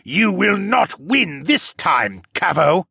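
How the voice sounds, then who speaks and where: An enraged reptile lord, slow and bellowing. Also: male voice, mid-range voice, sing-song, gravelly, sharp tone, breathy edge, dry recording, studio quality, exaggerated voice. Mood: menacing fury. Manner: bellowing, drawn-out wailing indignation. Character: enraged reptile-man regent lord, proud, vengeful.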